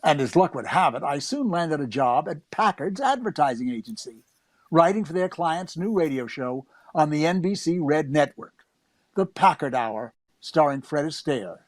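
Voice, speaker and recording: Eager young gag writer played by an actor, self-mocking narrator, fairly high, dry studio sound. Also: male voice, animated, normal speed, no echo, natural voice. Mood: wry, upbeat. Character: eager, wryly self-mocking young comedy writer recalling his career. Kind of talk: first-person radio drama narration.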